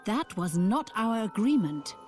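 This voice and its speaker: Casting: exasperated shady client, curt, sarcastic, unhurried pace, swinging intonation, game cutscene. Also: female voice, medium pitch, sing-song, a little mellow. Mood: annoyed. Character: demanding, exasperated customer in a shady deal. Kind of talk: video game character dialogue. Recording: music under the voice.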